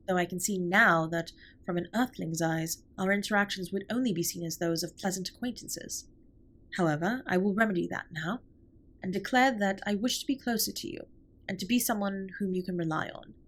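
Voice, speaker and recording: Woman playing a formal, soft-husky alien spaceship captain. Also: medium pitch, lightly acted. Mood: resolute, caring. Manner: formal, measured, precise wording. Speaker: audio roleplay performer. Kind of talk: scripted comfort roleplay, intimate one-sided dialogue.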